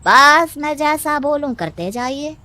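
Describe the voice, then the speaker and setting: A woman voicing a cunning male adviser in a storybook cartoon, who talks slowly and slyly with a cackle. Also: high voice, animated, sharp tone, pitched above the performer's own voice, exaggerated voice. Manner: slow, sly, cackling. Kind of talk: storybook voice acting.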